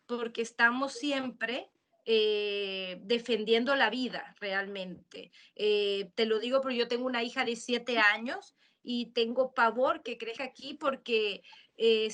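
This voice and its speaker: A woman from Nicaragua with a Nicaraguan accent, a filmmaker in her thirties or forties, thinking aloud on a panel with many halting restarts. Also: a little high, bright, forceful tone. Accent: Nicaraguan Spanish. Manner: searching, halting, restarts mid-phrase. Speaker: filmmaker asking a question on a panel. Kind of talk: reflective panel discussion.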